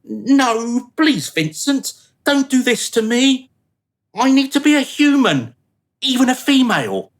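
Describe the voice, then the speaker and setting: Man acting a flustered, excitable dead soul. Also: very high voice, takes it slowly, heavily acted. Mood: pleading. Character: anxious, pleading dead man, eager to be reincarnated. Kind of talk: radio play acting.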